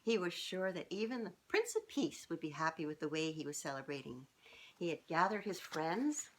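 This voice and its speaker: Low-voiced woman reading to children. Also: rough recording. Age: middle-aged. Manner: expressive, speaking directly to young children. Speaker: children's author reading aloud. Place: small furnished living room, indoors.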